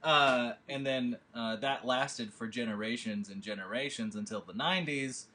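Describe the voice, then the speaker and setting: A man's voice: mid-range voice, moderate pace, pushed and bright. A man leading a table read, chatting casually from home over a video call, rambling with lots of fillers and restarts. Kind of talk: casual chat.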